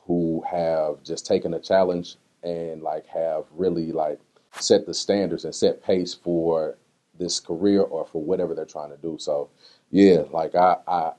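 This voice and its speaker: Male interview guest, low-pitched voice, slangy and conversational, telling personal stories informally. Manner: slangy, conversational, animated retelling with acted-out quotes. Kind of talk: casual candid interview talk answering personal questions.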